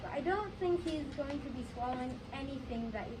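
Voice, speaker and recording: A calm, reassuring doctor with a high voice read by a female performer. The delivery is a halting school play reading. The sound is distant with clear background noise. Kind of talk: school readers theater reading.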